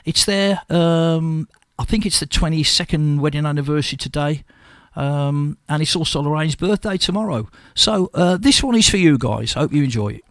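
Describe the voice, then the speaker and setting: A man hosting a local radio show, reading out a listener's request in a casual, halting way with many pauses. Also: fairly high pitch, dry recording, studio quality. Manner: hesitant, with frequent pauses and fillers. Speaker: radio host. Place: radio studio.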